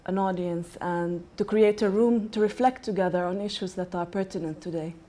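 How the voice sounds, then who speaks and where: A woman's voice: on the low side, steady tone, moderate pace, warm tone. A woman, a playwright, speaking earnestly on a panel. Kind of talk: panel talk.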